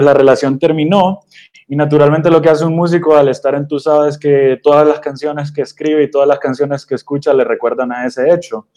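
Young adult man from Honduras, DJ guest; mellow, warm tone; easygoing, halting delivery. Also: mid-range voice. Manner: hesitant, many fillers and restarts. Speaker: guest DJ and producer. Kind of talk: relaxed storytelling interview answers. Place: radio studio.

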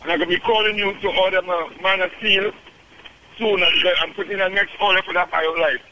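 A man's voice: high voice, fairly even intonation, brisk, sharp tone, a little hiss or hum, poor audio. A man in his fifties from Trinidad with a Trinidadian accent, calling in to a radio show and raving enthusiastically, calling the host "boss" again and again. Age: 50s. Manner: enthusiastic, repeats "boss" often, chuckles. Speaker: radio caller giving a testimonial. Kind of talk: phone-in radio chat.

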